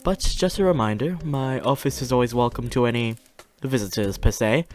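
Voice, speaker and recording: A man's voice: mid-range voice, normal speed, darkish tone, soft background music, natural voice. A man playing a flirty, teasing demon who reports and hosts in a fiction podcast. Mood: sultry, playful. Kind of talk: fiction podcast banter and monologue.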